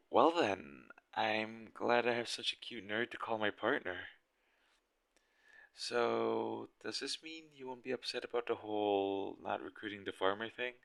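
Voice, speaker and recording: Awkward, low-voiced smitten young guy played by a man. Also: vocal fry, a bit bright, no echo. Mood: surprised, fond, then teasing, relieved. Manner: awkward, nervous, hesitant and stammering. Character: shy, smitten male game developer on a dinner date. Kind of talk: romantic audio roleplay, spoken to the listener.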